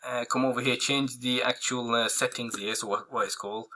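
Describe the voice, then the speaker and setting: A man walking viewers through a software tutorial, hoarse and losing his voice, rambling with many fillers and restarts. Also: medium pitch, steady tone, fairly quick, a little hiss or hum, poor audio. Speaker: online marketing tutor. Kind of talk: casual screen-recorded tutorial.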